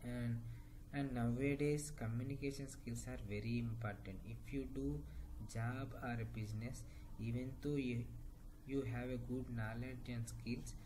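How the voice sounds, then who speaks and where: A young man from Hyderabad with an Indian English accent, giving a personal testimonial to a webcam at home, speaking slowly and carefully with pauses and occasional repeated words. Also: mid-range voice, fairly even intonation. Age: young adult. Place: indoor room at home. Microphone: laptop webcam at desk distance. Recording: noisy home webcam recording.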